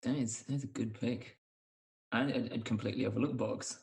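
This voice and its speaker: Guy podcast host, lots of vocal fry, mid pitch, chatty. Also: fairly even intonation, brisk, a little mellow, rough recording. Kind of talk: casual chat.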